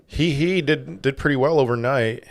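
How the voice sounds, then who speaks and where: Man, livestream host, casual, rambling, answers chat questions, mid pitch, desk mic. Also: moderate pace, gravelly. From Portugal. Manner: rambling, reacts to chat questions, frequent restarts. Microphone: desk microphone.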